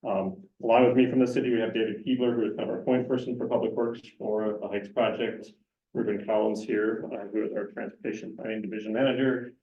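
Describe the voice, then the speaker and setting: A man working as a city traffic engineer, briskly introducing his colleagues at a public meeting, with many ums. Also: on the low side, steady tone. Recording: dull, echoey room sound.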